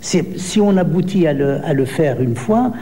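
A man in his seventies, born in Algeria, answering interview questions slowly with many hesitations. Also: a little high, lively intonation, mellow, long reverb tail. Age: 70s. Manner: slow, hesitant, many pauses and fillers. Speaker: poet.

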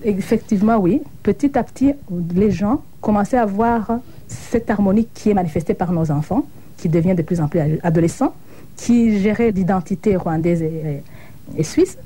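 Middle-aged woman, very dark mellow voice. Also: medium pitch, moderate pace. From Burundi. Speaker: interview guest, association co-founder. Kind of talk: reflective broadcast interview.

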